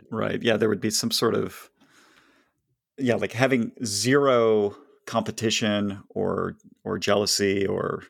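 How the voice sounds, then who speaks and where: A man's voice: on the low side, steady tone, takes it slowly, creaky, no echo. A man co-hosting a podcast, reading listener questions aloud and joking dryly. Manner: dry, wry, reads listener letters aloud. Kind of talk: casual podcast chat.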